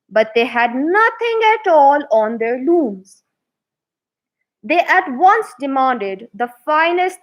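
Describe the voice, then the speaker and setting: Woman reading kids a fairy tale, really high voice, slow and deliberate with expression. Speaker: children's storyteller. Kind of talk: read-aloud fairy tale narration.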